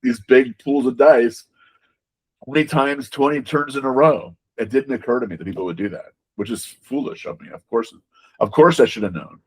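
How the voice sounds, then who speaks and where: A man being interviewed as a game designer, talking casually and haltingly, often repeating words as he explains. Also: medium pitch, husky, rough recording.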